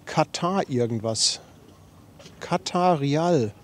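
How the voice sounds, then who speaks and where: A man's voice: on the high side, expressive, slow pace, slight background noise. A man with a slightly runny nose from a cold, chatting casually as a livestream host and reading out viewer messages in a chatty, self-deprecating way. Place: outdoors on a harbour riverbank.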